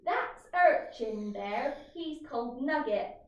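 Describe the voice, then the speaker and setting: A high, swooping young pirate lad from a storybook, acted out by a woman. He is jolly, cheeky and bold, heard from off in a very echoey room. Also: animated, slow, muffled recording. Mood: jolly, proud. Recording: echoey room, distant mic.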